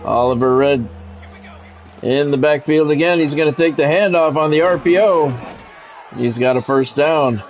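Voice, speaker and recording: A man's voice: on the high side, moderate pace, highs cut off, soft background music. A man calling a video game football match as a streamer, mixing announcer-style play-by-play with wry, grumbling, sometimes profane casual asides. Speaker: gaming streamer doing play-by-play commentary. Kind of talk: sports play-by-play commentary mixed with casual asides.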